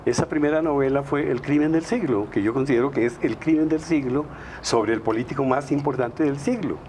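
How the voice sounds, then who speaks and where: A man's voice: mid-range voice, fairly quick, a little mellow, slight background noise. A man, a novelist, speaking emphatically on a studio panel, stacking words in rhythmic series. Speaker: novelist guest.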